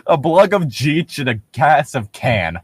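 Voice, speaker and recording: A man's voice: on the high side, sing-song, unhurried, breathy edge, dry recording. A man doing a comedic read-aloud of horror fiction, theatrical and sardonic. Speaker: co-host reading horror stories for laughs.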